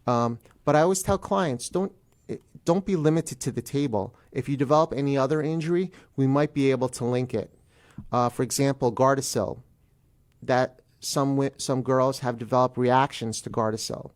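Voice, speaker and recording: Male legal guest for a talk show, medium pitch, explains conversationally with emphatic repetition. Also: moderate pace.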